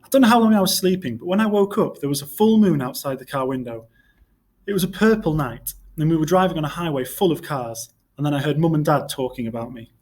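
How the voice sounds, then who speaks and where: A man reading a storybook aloud in expressive narration, like a teacher to a class. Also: a little high, fast talker.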